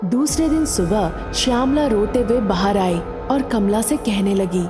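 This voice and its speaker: A woman's voice: medium pitch, animated, measured pace, in a large hall, music in the background. A woman narrating a scripted story as a storyteller.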